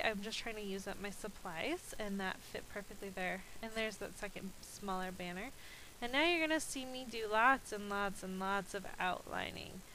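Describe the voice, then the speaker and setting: A woman's voice: medium pitch, sharp tone, a little hiss or hum, poor audio. A woman with a slightly stuffy, nasal voice from a cold, chatting casually with frequent ums as she narrates a voice-over about her crafting. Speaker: scrapbooking video creator.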